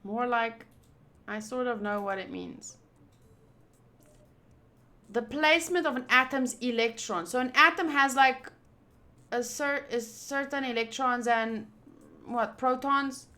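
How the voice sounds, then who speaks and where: A woman doing casual playthrough commentary of a visual novel, reading game text aloud and thinking out loud with excitable energy. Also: on the high side, takes it slowly, long pauses. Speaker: streamer playing a visual novel.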